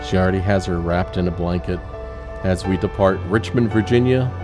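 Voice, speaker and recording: Deep-voiced man narrating over music. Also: fairly quick. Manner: conversational, storytelling. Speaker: narrator, pilot of volunteer animal rescue flights. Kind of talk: casual voice-over narration of a flight video. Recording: music under the voice. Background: background music.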